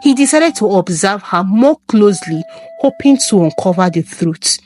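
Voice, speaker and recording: A woman's voice: medium pitch, lively intonation, normal speed, darkish tone, soft background music. A woman reading a scripted folktale as its storyteller. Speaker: storyteller, narrator.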